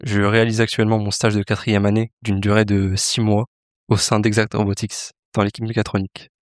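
Young man, low and flat, formal. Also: brisk, no echo, clean studio sound. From Paris, France. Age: young adult. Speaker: engineering student and intern.

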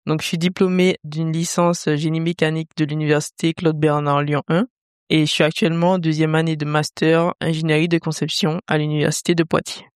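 A woman in her late twenties with a low, smooth and resonant voice speaks carefully, pausing often on small hesitations. Her tone stays flat, and the sound is dry and close. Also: no echo. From Martinique. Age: 20s. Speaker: engineering master's student and intern.

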